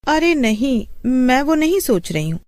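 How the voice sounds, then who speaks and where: A woman performs a young wife in an animated horror tale. She is curious and fixated, with a high, swooping, mellow voice. She muses in questions and pleads gently. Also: unhurried, dry recording. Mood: gently pleading. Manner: musing, questioning. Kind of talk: animated horror story dialogue.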